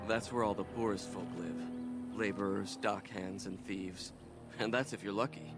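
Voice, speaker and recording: An actor playing a humble, earnest young city elf in conversational video game dialogue. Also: male voice, fairly low pitch, fairly even intonation, unhurried, lightly acted. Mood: wry, descriptive. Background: game music.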